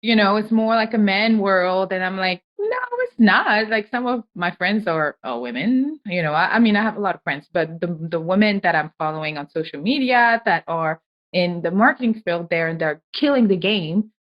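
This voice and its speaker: A woman from Senegal hosts in a casual, relaxed way and drops bits of slang. Her voice sits at a mid pitch and sounds slightly dull and lo-fi. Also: normal speed. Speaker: podcast host, programmatic trainer. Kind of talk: conversational interview.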